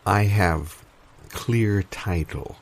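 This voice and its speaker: A man performing improvised audio comedy as a pompous guru of financial enlightenment, speaking in deadpan, portentous, halting phrases. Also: deep voice, heavily acted. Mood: solemn. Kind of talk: improvised audio comedy, mock-meditative pronouncements.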